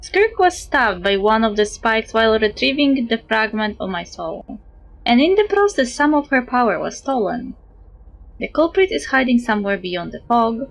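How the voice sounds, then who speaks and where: A woman's voice: fairly high pitch, big pitch swings, normal speed, creaky, poor audio. A woman game streamer reading dialogue aloud and commenting in a playful, exclaiming manner. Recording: game music behind the voice.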